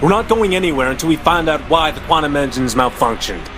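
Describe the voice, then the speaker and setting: A man's voice: fairly high pitch, animated, fast, natural voice. Man as a firm robot officer, noisy backdrop. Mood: stern. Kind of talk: animated sci-fi motion comic acting.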